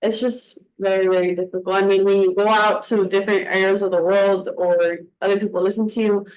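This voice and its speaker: A woman's voice: mid-range voice, little pitch movement, a little fast, darkish tone, a little hiss or hum, rough recording. Bilingual young woman with a Spanish accent. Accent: Mexican Spanish. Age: young adult. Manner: reflective, speaks in long winding stories with restarts. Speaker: podcast co-host. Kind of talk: casual conversation.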